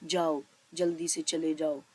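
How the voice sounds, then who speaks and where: A woman's voice: deep voice, little pitch movement, measured pace, mellow, husky, pitched below the performer's own voice. A woman voicing a cautious, self-protective village headman in a cartoon story, with a resigned, repetitive way of giving orders. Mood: urging.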